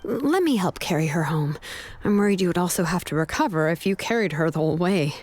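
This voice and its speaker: A woman's voice: lowish voice, animated, fast, darkish tone. A woman playing a composed, perceptive young woman in a video game, speaking casually and tactfully. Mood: tactful, covering. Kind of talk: video game character acting. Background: background music.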